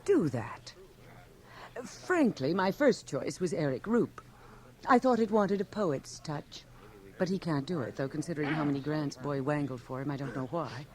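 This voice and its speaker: An actress playing an imperious, self-possessed society widow in a radio drama, commanding, clipped and condescending. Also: female voice, sits low, lively intonation, moderate pace, darkish tone. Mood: imperious, impatient.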